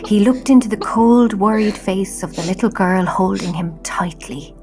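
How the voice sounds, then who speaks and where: A woman with an Irish accent narrating a children's story from a script in a measured way, hushing at the ends of phrases. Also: mid-range voice. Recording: clear music behind.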